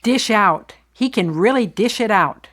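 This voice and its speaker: A woman reciting phrases for a language lesson, deliberate and clearly enunciated. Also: medium pitch, lively intonation, a bit bright. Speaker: phrase reader.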